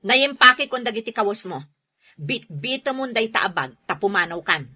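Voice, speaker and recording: Woman acting husky, sharp, aggrieved mother. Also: medium pitch, big pitch swings, normal speed. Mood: furious. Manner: scolding, emphatic. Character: aggrieved, hot-tempered mother of a large family. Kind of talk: radio drama acting in Ilocano.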